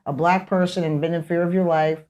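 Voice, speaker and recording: A woman's voice: on the low side, fairly even intonation, fairly quick, a little mellow. An American woman in her fifties talking emphatically in a conversational interview, as a guest with strong opinions. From Pittsburgh, United States. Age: 50s. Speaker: podcast guest, teacher.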